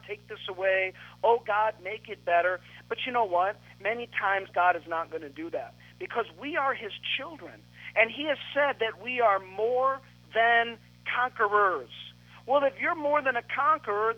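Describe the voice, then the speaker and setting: A man's voice: high voice, dull audio. A man preaching and praying as a radio pastor, fervent and stretching key words for emphasis. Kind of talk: radio sermon with prayer. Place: radio studio.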